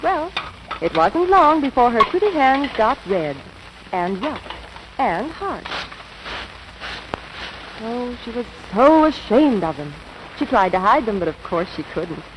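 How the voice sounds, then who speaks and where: A chatty female storyteller with a lightly high, very lively voice. She gushes theatrically, as in a bedtime tale turned 1940s radio advertisement. The old recording has clear noise behind her. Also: measured pace, long pauses. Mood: gushing, confiding. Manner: gushing, theatrical storybook delivery. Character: chatty woman telling a soap sales tale like a bedtime story.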